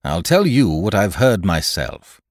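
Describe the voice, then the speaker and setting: A man's voice: low-pitched, big pitch swings, unhurried, no echo, studio quality, voice pushed up. A man voicing a stern, blunt, dutiful sea captain with a formal, firm way of talking, in a storybook reading. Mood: insistent. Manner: formal, firm, plain-spoken.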